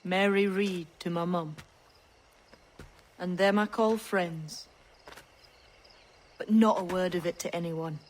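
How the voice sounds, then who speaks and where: A woman's voice: lowish voice, fairly even intonation, takes it slowly, long pauses, slight background noise. A woman voicing a tough, sly young pirate who passes as a man, teasing and menacing, in a video game. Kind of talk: video game cutscene acting.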